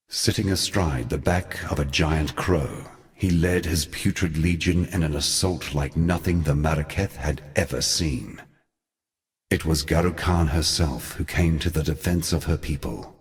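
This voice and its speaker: A man voicing an ancient, sorrowful god in a fantasy game, speaking in a solemn, eloquent and theatrical way. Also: extremely low pitch. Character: ancient male god, grave, sorrowful, brooding mentor. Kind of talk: scripted fantasy game monologue.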